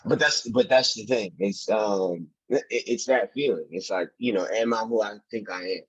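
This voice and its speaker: A man's voice: fairly low pitch, normal speed, husky, dull audio. A man speaking as an actor being interviewed, earnest and emphatic, repeating phrases as he thinks aloud in casual, reflective talk. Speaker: actor, podcast guest.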